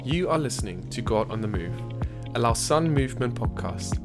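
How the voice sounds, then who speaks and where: A man giving scripted documentary narration for a podcast. He tells it in a measured way and lifts his voice for quoted speech. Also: medium pitch, animated, music in the background.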